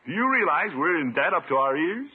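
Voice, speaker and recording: An actor playing a pompous, blustering middle-aged uncle who chuckles and preaches in a 1950s radio sitcom. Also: male voice, a little high, very animated, a little fast, husky, full character voice. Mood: preachy. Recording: muffled 1950s radio broadcast.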